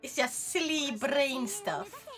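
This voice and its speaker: A woman's voice: high-pitched, big pitch swings, takes it slowly, fairly bright tone, soft background music. A young woman with a Swedish accent, chatting playfully with her viewers while streaming a game. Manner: chatty and playful, talking to chat while playing. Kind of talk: casual gaming livestream chat.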